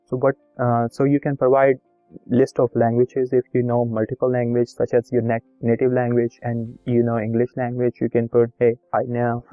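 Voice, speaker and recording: A man whose first language is Urdu guides viewers through a form in an even, monotone voice, reading each field aloud and repeating key points. The recording is dry and a little dull, with faint music underneath. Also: mid-range voice, normal speed, no room sound. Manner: plain instructive, reads form fields aloud, repetitive. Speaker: video tutorial host. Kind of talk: screen-recorded step-by-step walkthrough.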